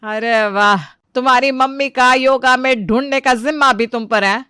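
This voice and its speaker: A woman's voice: sits high, normal speed, pushed and bright, clean tone, no room sound, studio quality. An actress playing an exasperated middle-aged mother-in-law, sarcastic and nagging, in a comic family story. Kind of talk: animated family comedy story acting.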